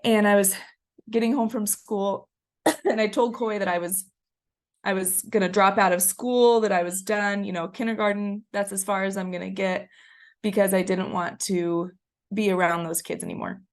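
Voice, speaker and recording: A woman's voice: medium pitch, fairly even intonation, moderate pace. Slightly scratchy woman presenting a webinar. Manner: chatty, storytelling, frequent ums. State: getting over a cold, a little scratchy. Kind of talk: conversational presentation.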